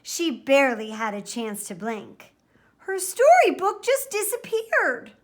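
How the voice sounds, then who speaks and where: A woman's voice: high voice, huge pitch swings, measured pace. A woman reading a children's picture book aloud expressively, with dramatic, careful emphasis. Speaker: storybook reader.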